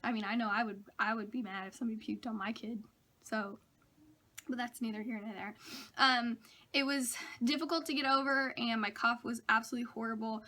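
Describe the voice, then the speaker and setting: A young woman, a bit hoarse from a cold, telling funny stories about her week to camera in a chatty, self-mocking way. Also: fairly high pitch, steady tone, fairly quick, pushed and bright. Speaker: vlogger. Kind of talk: casual chat to camera.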